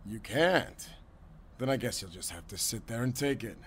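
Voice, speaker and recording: A man's voice: medium pitch, animated, normal speed, a bit bright, husky. A man playing a mocking, condescending, swaggering kidnapper henchman in an audio roleplay. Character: taunting, cocky male kidnapper henchman guarding a captive.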